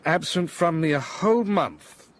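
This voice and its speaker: An actor playing a brooding, teasing master of a manor in a radio drama. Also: male voice, on the high side, expressive, measured pace. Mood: playful. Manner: teasing, mock-reproachful.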